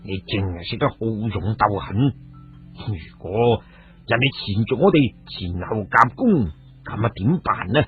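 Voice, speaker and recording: A man voicing a battle-wise, hearty but cautious elder sworn brother in a Cantonese radio story, speaking in a measured way. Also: fairly low pitch, animated, voice pushed down. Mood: scolding. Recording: phone-like, muffled audio with music.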